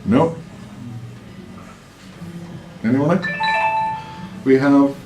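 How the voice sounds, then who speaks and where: A man's voice: medium pitch, extremely slow, highs cut off. Male quiz host, mid-range voice, echoey room, reading questions and calling on players. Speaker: quiz master reading questions and judging answers. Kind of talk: live quiz question reading with informal judging. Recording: roomy echo, faint music behind.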